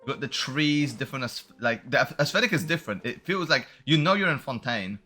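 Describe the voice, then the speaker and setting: A man's voice: mid-range voice, expressive, brisk, pushed and bright, soft background music. A man giving casual, unscripted commentary as he plays a video game, musing and thinking aloud. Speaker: streamer playing a video game.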